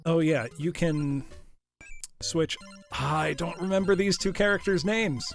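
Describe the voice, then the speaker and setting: A man's voice: on the high side, fairly even intonation, unhurried, gravelly. A man streaming a retro game live, chatting casually with viewers he calls his class; his voice is congested and tired. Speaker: streamer playing retro games. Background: game music.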